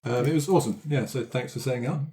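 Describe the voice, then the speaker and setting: A man's voice: medium pitch, very fast, dark tone. A man chatting casually as a cast member looking back on a role-playing game. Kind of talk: casual chat.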